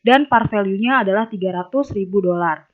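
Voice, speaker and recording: A woman's voice: medium pitch, brisk. A young adult woman giving methodical, step-by-step instructional narration as a tutor. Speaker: accounting tutor. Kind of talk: instructional narration of a worked problem. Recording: dull, lo-fi voice recording.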